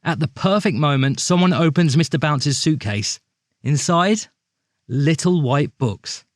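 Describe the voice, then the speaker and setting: A man's voice: a little high, measured pace, dry recording, clean studio sound, close to an everyday voice. A man playing a suspicious storybook character in an audio drama, insistently and dramatically laying out his theory with rhetorical questions. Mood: accusing. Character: suspicious, accident-prone storybook man airing conspiracy theories.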